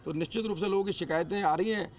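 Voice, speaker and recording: Man, interviewee, spontaneous statement, quick pace, slightly high voice. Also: darkish tone.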